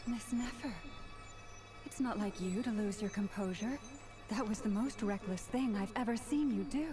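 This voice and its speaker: Actress as a gracious, measured healer over loud music. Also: female voice, medium pitch, natural voice. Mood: reproving, composed. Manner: measured, formal, articulate. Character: gracious, caring, businesslike woman healer and leader. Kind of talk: scripted game voice acting.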